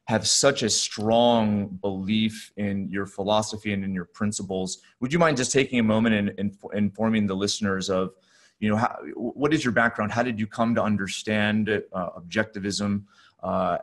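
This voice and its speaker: A middle-aged man hosting a conversational podcast interview over video call, speaking haltingly and rephrasing as he goes. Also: lowish voice, fairly even intonation. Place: small furnished room at home or office, on a video call.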